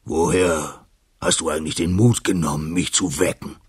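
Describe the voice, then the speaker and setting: A man's voice: deep voice, steady tone, normal speed, slightly rough, no room sound, studio quality. A man voicing a proud, imperious lion in a storybook, with a haughty, emphatic delivery. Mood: furious, imperious. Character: proud, imperious male lion, king of beasts.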